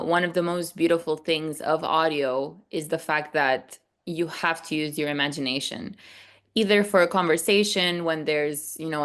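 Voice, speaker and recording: A woman from Romania speaks as a podcast producer on an online panel. Her voice sits low and comes through smooth and resonant. She explains things in a measured way, pausing on frequent ums, over a video call. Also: fairly bright tone. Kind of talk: panel discussion answer.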